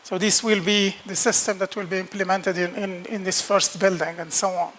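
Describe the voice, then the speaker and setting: A man's voice: high-pitched, level tone, normal speed, fairly bright tone, slight background noise. A man giving a technical conference presentation on a hall stage, talking plainly with repeated words and fillers. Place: large dark lecture hall stage.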